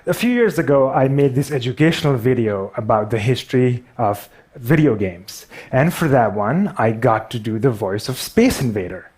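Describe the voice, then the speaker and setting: A man with a Pakistani accent speaks to an audience at a mid-range pitch. His storytelling is personal and self-deprecating, with occasional halting phrases. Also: lively intonation, darkish tone, breathy edge. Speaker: public speaker.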